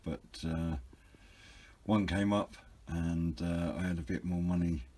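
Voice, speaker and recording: A man's voice: sits low, steady tone, measured pace, warm tone, breathy edge. A British man giving an informal, hesitant and understated hobby product review, rambling a little as he explains. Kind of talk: informal unboxing and review narration.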